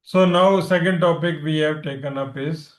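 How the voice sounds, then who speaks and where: A man's voice: on the high side, fairly even intonation, normal speed, roomy sound. A man with an Indian accent whose first language is Punjabi, teaching an online class, explaining points and quizzing students, switching between Hindi and English.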